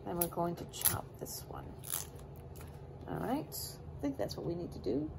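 A woman's voice: on the low side, huge pitch swings, unhurried, vocal fry, background noise. A woman chatting casually with her viewers while she chops vegetables at her kitchen counter. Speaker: cooking vlogger. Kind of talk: casual cook-along chat.

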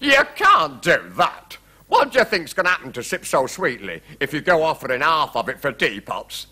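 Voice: medium pitch, very animated, normal speed, sharp tone, voice pushed up. A storyteller voicing a flustered, agitated king in a storybook tale.

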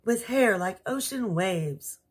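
A woman's voice: mid-range voice, animated, slow pace, slightly rough, voice pushed up. A woman voicing a particular, commanding storybook queen in a prim, dramatic manner. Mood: wishful.

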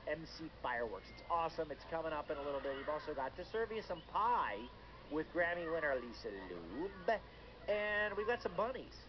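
A man hosting a children's radio show, presenting casually, heard through a muffled, noisy re-recording. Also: a little high.

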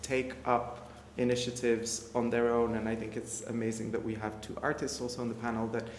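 A young man on a discussion panel speaking slowly and in a measured way. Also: medium pitch. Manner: slow, measured, even delivery. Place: art gallery room. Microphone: stand mic. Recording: echoey hall, faint noise.

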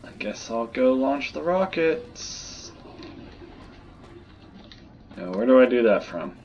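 Guy doing a casual playthrough, talks slow and low to himself, kinda dull lo-fi mic. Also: very animated, a little mellow. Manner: muttering to self. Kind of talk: casual gameplay commentary.

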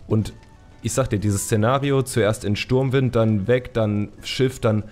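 A man with a fairly low voice hosts a casual gaming podcast over background music. He is opinionated and colloquial, often repeating words as he works through a thought. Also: steady tone, measured pace, darkish tone. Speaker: podcast host.

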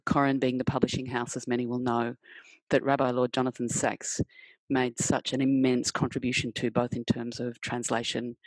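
A woman's voice: rumbling low, no echo, low-quality recording. A woman in her forties reads formally into a headset microphone on a video call. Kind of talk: formal scripture reading and introduction.

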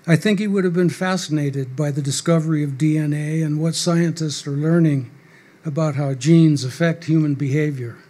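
A man's voice: a little high, darkish tone. An older man reading prepared, wry remarks at a stand mic on a small club stage. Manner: measured, dry and wry, reading from paper. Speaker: guest speaker. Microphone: stand mic at a podium.

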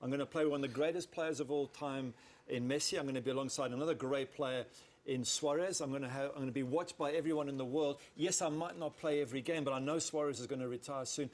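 A man's voice: medium pitch, fairly even intonation, quick pace, darkish tone. A middle-aged man arguing his case as a football pundit in a conversational studio panel debate. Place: television studio.

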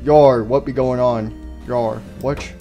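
A man's voice: mid-range voice, steady tone, takes it slowly, warm tone, lo-fi sound, soft background music, voice pushed down. A man voicing a boisterous, adventurous animatronic pirate fox who rambles and peppers speech with pirate growls of "yar". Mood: jaunty. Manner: starts sentences with "yar".

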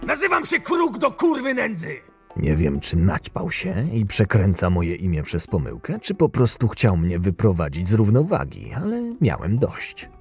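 A man's voice: lowish voice, wildly swooping pitch, moderate pace, a little mellow, slightly rough, soft background music, heavily acted. A man playing a proud, short-tempered, cynical camp enforcer who narrates his own tale in a fantasy audio drama, sardonic and menacing. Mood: fed up.

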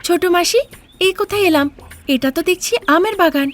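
A woman's voice: very high-pitched, fairly quick, voice pushed up. A woman voicing an honest, earnest young daughter-in-law in a cartoon tale, plaintive and pleading. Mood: indignant. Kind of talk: cartoon fairy-tale character acting.

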